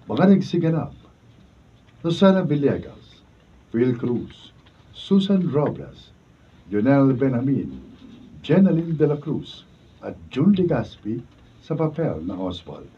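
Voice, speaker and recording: A man's voice: medium pitch, very animated, slow pace, long pauses, slightly rough. A man giving scripted closing narration for a radio drama. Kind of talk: scripted moral narration and cast credits. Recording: distant dull studio video sound, faint noise.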